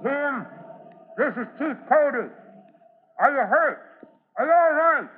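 Casting: urgent, authoritative fire chief, cautious leader, high register, classic radio drama on a tinny old recording. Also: male voice, animated, slow pace, heavily acted. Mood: urgent, commanding. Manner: urgent, authoritative, giving orders. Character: commanding, cautious male fire chief at a rescue scene.

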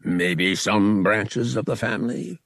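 A man's voice: fairly low pitch, slow pace, gravelly, a bit bright, dry recording, clean studio sound, full character voice. A man voicing a boozy, mocking, suspicious male spaceship captain in an audiobook, sputtering and taunting. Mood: drunken amusement.

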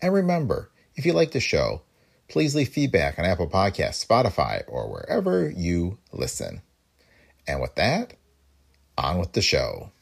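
A man's voice: sits low, huge pitch swings, a little slow, vocal fry, breathy edge. A man hosting a podcast, reading a scripted introduction. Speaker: podcast host.

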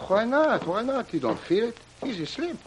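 A man's voice: very high-pitched, big pitch swings, normal speed. An actor in an old radio serial playing an eager, bumbling henchman with accented broken English. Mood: grumbling. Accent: put-on foreign, broken English. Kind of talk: old-time radio adventure acting. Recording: noisy old radio recording with clicks.

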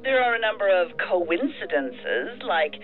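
An actress playing a frustrated, self-doubting federal agent, earnest and pointed, heard through a phone filter in an audio drama. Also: female voice, lowish voice, very animated, unhurried. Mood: uneasy. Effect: telephone filter. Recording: tinny phone line, faint music behind.

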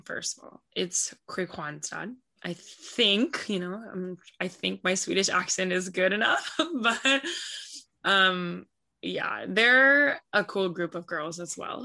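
A woman's voice: on the low side, gravelly. A woman soccer player answering interview questions casually, with lots of "like" and "you know". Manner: relaxed, filler-heavy speech with many "like" and "you know".

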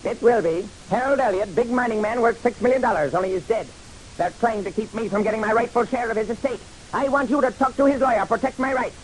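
High, rapid-fire nervous little man. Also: low-quality recording. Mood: brusque, urgent. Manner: clipped, impatient, demanding. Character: small, nervous, pushy man greedy for an inheritance. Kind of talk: old-time radio detective drama acting. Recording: hissy old radio recording.

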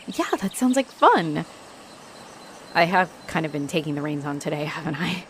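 Woman playing a friendly halfling orc, lowish voice. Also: normal speed, slight background noise. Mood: cheerful, playful. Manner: warm, playful, expressive. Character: friendly, flirtatious female halfling orc adventurer. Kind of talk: roleplay audio, speaking directly to the listener.

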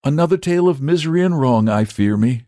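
A man's voice: fairly high pitch, expressive, fast talker, warm tone, dry recording, clean studio sound, voice pushed up, close to an everyday voice. A man voicing a kindly, grand knight. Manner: kindly, old-fashioned and grand.

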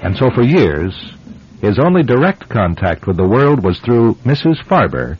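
A man hosting and narrating a scripted radio drama in a dramatic, deliberate storytelling manner. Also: medium pitch, big pitch swings. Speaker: host and narrator. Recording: muffled old radio broadcast, faint music.

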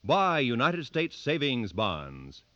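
Animated male radio announcer, muffled vintage recording. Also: medium pitch, takes it slowly, noisy background. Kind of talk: scripted 1950s radio public-service announcement.

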